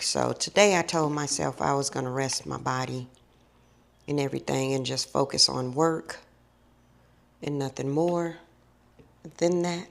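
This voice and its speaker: Low, gravelly woman chatting to viewers. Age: middle-aged. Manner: chatty, drawn-out, calls viewers honey and baby. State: slightly tired and scratchy. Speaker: vlogger. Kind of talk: casual chatty vlog.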